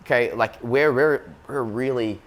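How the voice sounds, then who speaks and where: A man's voice: mid-range voice, unhurried. An Australian man, a business co-founder, talking casually in an interview and filling his speech with "like" and "you know what I mean". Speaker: company co-founder, guest.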